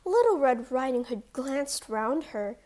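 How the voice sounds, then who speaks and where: Lady reading a kids fairy tale, high voice, really slow storybook pace. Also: expressive, mellow. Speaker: children's storyteller. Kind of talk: scripted fairy tale narration for young children.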